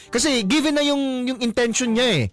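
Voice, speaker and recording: A man's voice: very high voice, a little fast. A Filipino man hosting a radio advice show, counseling conversationally and explaining things with frequent rhetorical questions. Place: radio studio.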